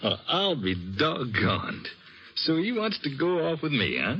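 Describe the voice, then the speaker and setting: An actor playing a restless American gambler father in an old-time radio western, blustery and regretful, with a halting, folksy delivery. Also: on the high side, big pitch swings, muffled recording, over music. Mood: surprised, proud.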